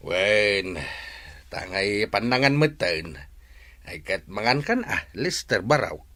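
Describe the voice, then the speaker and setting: A man's voice: lowish voice, sing-song, slow pace, pushed and bright, heavily acted. A man with an Ilocano accent playing a hospitable, pious older father in a drama. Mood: welcoming. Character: hospitable, pious old father, gently advising. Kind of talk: Ilocano comedy drama voice acting.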